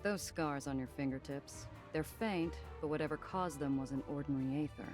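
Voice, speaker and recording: Streetwise woman smuggler, played by an actress: low, wry, guarded noir game narration over clear background music. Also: moderate pace, lightly acted. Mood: suspicious, confident. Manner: dry, world-weary, hardboiled. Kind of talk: noir first-person narration and game dialogue. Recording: music behind the voice.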